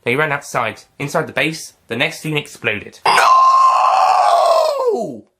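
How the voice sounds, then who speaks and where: A man narrating a fan-written story aloud in a plain, steady reading voice. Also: mid-range voice, moderate pace, heavy background noise. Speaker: narrator and writer.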